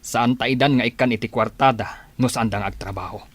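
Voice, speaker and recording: A man's voice: mid-range voice, brisk, no room sound, natural voice. A man playing a stern farmer father, exasperated with his lazy children, in a radio drama. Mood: angry, exasperated.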